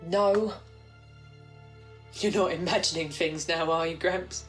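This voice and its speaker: A woman's voice: on the low side, steady tone, slow, soft background music. A woman playing an anxious, blunt granddaughter in an audio drama. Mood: doubtful.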